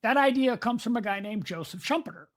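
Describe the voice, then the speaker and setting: A woman's voice: fairly high pitch, expressive, fast. A woman co-hosting a podcast in casual discussion, explaining ideas at length and drifting into side topics. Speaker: podcast co-host.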